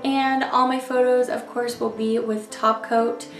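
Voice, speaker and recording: Hoarse young woman gushing about nail polish, gravelly, kinda echoey and far from the mic. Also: fairly high pitch, little pitch movement, unhurried, faint music behind. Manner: gushing, chatty, keeps saying "you guys". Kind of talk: casual product review. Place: indoor room in front of polish shelves.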